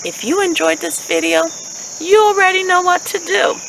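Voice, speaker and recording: A woman in her thirties reading a picture book expressively to children outdoors, addressing young listeners with questions in an animated, enunciated way. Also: very high voice, moderate pace, creaky, poor audio. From Haitian American. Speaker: children's story reader, host. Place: outdoors in a leafy park. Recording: steady outdoor ambient noise with insects. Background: insects, birds, outdoor ambience.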